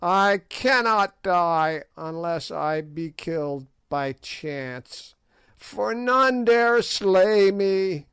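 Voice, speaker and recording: A man's voice: expressive, very slow, fairly bright tone, clean tone, no echo, full character voice. A man voicing an ancient, evil witch woman who shrieks and cackles in old-fashioned speech. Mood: furious. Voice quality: shrill, cackling.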